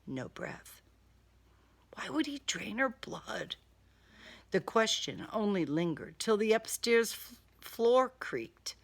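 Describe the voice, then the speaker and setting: A woman's voice: mid-range voice, big pitch swings, slow pace, gravelly. A woman reading a first-person crime thriller audiobook in a dramatic, wry manner. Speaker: author reading own novel excerpt.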